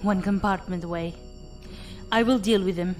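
A woman's voice: lowish voice, fairly even intonation, normal speed, a little mellow, soft background music, natural voice. A woman playing a dangerous non-human pirate in an audio drama, coldly lethal and terse.

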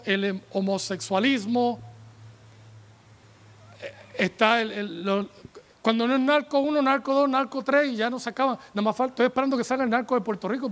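A man in his forties preaching a sermon from a pulpit, animated and joking as he calls on the congregation, speaking into a handheld microphone. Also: extremely high pitch. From United States. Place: church tent stage with a pulpit.

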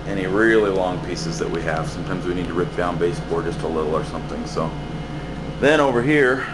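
A man's voice: low voice, brisk, background noise. A man giving a casual, rambling walkaround tour of a cluttered basement workshop where he does building maintenance, talking with many fillers and restarts into a handheld camera. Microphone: handheld camera mic, speaker off screen.

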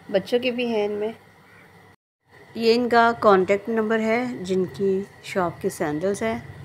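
A woman's voice: mid-range voice, darkish tone. A woman narrating a shopping vlog, presenting stalls and prices. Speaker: shopping vlogger. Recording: clearly noisy background.

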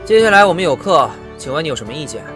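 A man acts out a cheeky, protective young student in an audio drama. His voice is slightly high and moves in lively swoops as he teases. Faint music runs behind him. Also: very fast. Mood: teasing.

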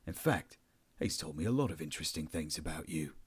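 A man's voice: extremely low pitch, animated, fairly quick, slightly rough, pitched below the performer's own voice, full character voice. A man voicing a cool, commanding military officer interrogating a prisoner in an audiobook story, curt and unimpressed.

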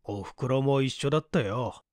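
A man playing a breezy, easygoing young gentleman in conversational dialogue from an audiobook mystery. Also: medium pitch, normal speed, dry recording, clean studio sound, natural voice. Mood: breezy, casual.

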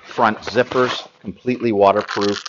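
An American man in his fifties presenting gear as an expert, explaining informally and haltingly to camera. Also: lowish voice, steady tone, muffled recording, background noise. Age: 50s.

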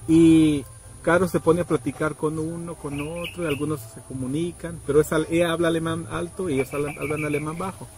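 A middle-aged man casually telling a story outdoors, acting out quoted people with sudden high pitched voices. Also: fairly even intonation, fairly quick, low-quality recording. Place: outdoors under trees in a rural yard. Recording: outdoor noise in background.

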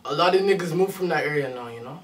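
A man's voice: a little high, fast talker, recorded from a distance. A young man, a rapper, chatting casually in an interview, full of street slang and repeated "you know what I'm saying" tags. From Detroit, raised in Durham Region, Ontario. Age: young adult. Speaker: rapper guest. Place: small studio room, seated on a couch.

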